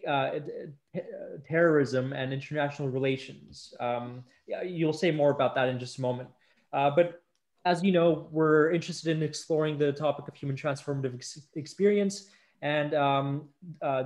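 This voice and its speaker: A man in his thirties hosting a conversational podcast over a video call, with hesitant, self-correcting phrasing. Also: on the high side. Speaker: podcast host. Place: indoor room at home, video call.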